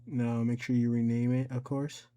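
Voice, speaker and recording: A man giving an informal step-by-step software tutorial, thinking aloud and somewhat hesitant as he goes. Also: mid-range voice, flat delivery, normal speed. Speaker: tutorial host.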